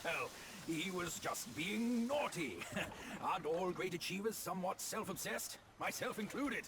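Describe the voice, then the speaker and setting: An actor voicing a cheeky, vain but good-natured pig demon in a video game cutscene, playful and protesting. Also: male voice, high voice, expressive, unhurried, background noise. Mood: defensive, playful.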